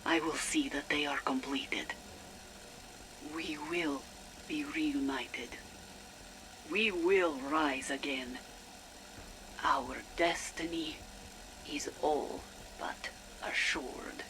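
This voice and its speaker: An actor playing a haunted, fanatically devoted man recording a hushed, measured personal log for a game. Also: on the high side, background noise. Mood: reverent, resolute. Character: solemn devoted zealot, fanatically faithful and haunted. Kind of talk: dramatic audio log monologue.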